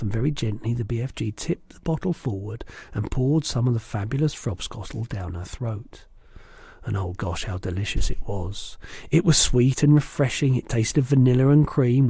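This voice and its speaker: Mellow, husky man reading a children's story. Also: mid-range voice, no echo. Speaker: storybook reader. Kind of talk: expressive children's story narration.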